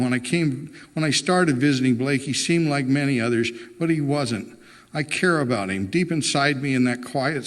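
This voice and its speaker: A man's voice: medium pitch, close to an everyday voice. Guy reading a wife from a novel, earnest and insistent, pleading her case, big hall reverb. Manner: impassioned, insistent.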